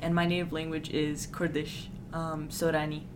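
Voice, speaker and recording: Low-voiced teen girl guest, halting, clear room noise behind. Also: little pitch movement. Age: teens. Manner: hesitant, lots of fillers. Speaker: student guest. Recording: noisy room.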